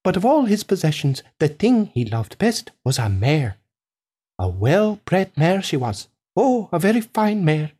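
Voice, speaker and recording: A sly farmer, voiced by a woman reader, spins a tall storybook tale. The pitch swoops about animatedly, and the delivery is emphatic, rustic and slightly husky. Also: big pitch swings, dark tone, full character voice. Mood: boastful, unhurried. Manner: unhurried, emphatic storytelling with rustic turns of phrase.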